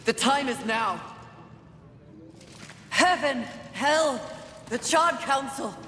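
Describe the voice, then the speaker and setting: A woman's voice: high voice, lively intonation, drawn-out words, pushed and bright, heavily acted. Game role: stern female warrior protector, formal and declamatory, echoey space. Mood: urgent, persuasive. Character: stern, resolute female horseman warrior sworn to protect humanity. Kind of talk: dramatic video game cutscene acting. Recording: echoey hall reverb, faint background noise.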